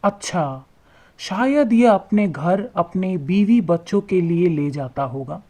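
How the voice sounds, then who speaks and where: A woman voicing a kindly, curious rich merchant man in an animated moral tale, earnest and deliberate. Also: fairly low pitch, breathy edge, heavily acted. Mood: pondering.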